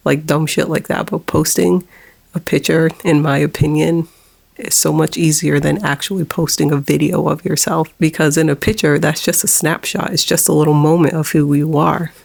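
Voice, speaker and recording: A woman in her forties with a low, monotone voice, talking haltingly about something personal in a vlog with nervous laughs while holding back tears. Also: creaky, breathy edge. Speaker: vlogger.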